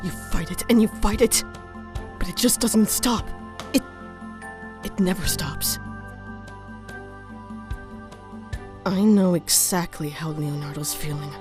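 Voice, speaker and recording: A woman's voice: fairly low pitch, unhurried, music in the background, lightly acted. A woman playing a proud, haunted female mutant in a comic dub, earnest and defiant in her delivery. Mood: haunted, sorrowful. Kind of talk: animated comic dub dialogue.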